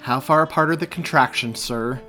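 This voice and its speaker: A man voicing a calm, patient, matter-of-fact female emergency operator in an audiobook. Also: mid-range voice, normal speed, soft background music, pitched above the performer's own voice, close to an everyday voice. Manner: calm, procedural.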